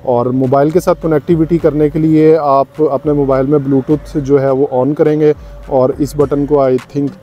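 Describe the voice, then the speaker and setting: A man's voice: a little high, flat delivery, unhurried, dull audio. A man, a vlogger demonstrating a product step by step in a tutorial style.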